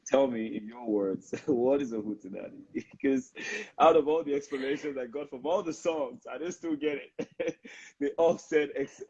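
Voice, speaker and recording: A man's voice: mid-range voice, a little mellow. A man with a Nigerian accent hosting a casual live interview, hesitant, with many fillers and restarts. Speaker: interviewer and live stream host. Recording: dull lo-fi livestream audio.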